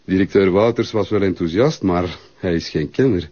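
Husky, smooth-talking Flemish photographer. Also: male voice, deep voice, normal speed, dark tone, lo-fi sound. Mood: flattering, persuasive. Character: smooth, flattering, pushy male photographer recruiting models. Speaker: actor. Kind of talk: naturalistic TV drama acting.

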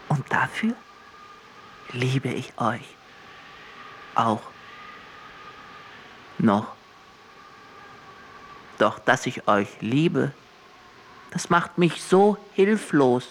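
A man's voice: a little high, sharp tone, a little hiss or hum, full character voice. An actor playing a sorrowful, brooding godlike man torn between love and hate, in an absurdist audio drama. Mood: torn, tender. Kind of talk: theatrical musical monologue in an absurdist audio drama.

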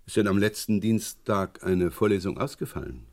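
An actor playing a middle-aged police inspector in a German radio crime drama, gruff, probing and impatient. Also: male voice, deep voice, animated, normal speed, darkish tone. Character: brisk, probing French police inspector, the boss.

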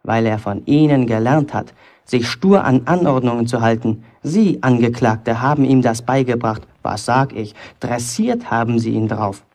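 An actor playing a defiant young apprentice bricklayer in a German radio play, lecturing sarcastically like a pompous prosecutor with rhetorical questions. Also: male voice, mid-range voice, expressive, normal speed, darkish tone, natural voice. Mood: sarcastic, accusing.

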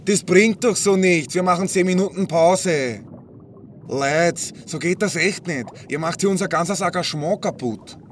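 Guy playing an annoyed theatre director, highish voice, bit distant, comedy audio play. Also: unhurried. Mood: exasperated. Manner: exasperated, complaining. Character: exasperated male theatre troupe director. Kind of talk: comedic audio drama parody. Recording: distant mic, faint background noise.

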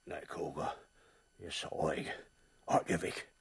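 An actor playing a weary companion in a 1960s radio drama, with a gravelly, raspy voice. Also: male voice, very deep voice, steady tone, exaggerated voice. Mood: weak, resigned. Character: weary, ailing man, a loyal companion.

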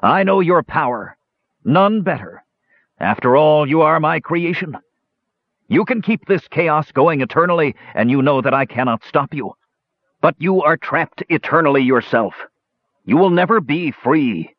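Proud, commanding fantasy dwarf for storybook, declamatory, raised pitch, rough-edged, voiced by a male reader. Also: expressive, a little slow, no echo, muffled recording. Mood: defiant, forceful.

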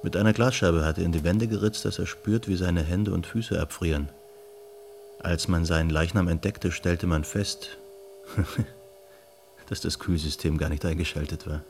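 Deep, gravelly, thoughtful investigator. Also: male voice, fairly even intonation, moderate pace, a little mellow, a little hiss or hum. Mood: calm, pensive. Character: unhurried, thoughtful male investigator, storytelling sleuth. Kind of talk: radio drama dialogue.

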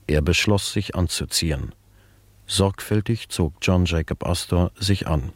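A man's voice: deep voice, measured pace, dry recording. A man narrating a radio play in a formal, matter-of-fact manner.

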